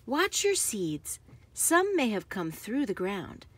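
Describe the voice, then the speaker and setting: A woman reading a children's book aloud with careful, expressive phrasing for young listeners. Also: mid-range voice, slow pace, slight background noise.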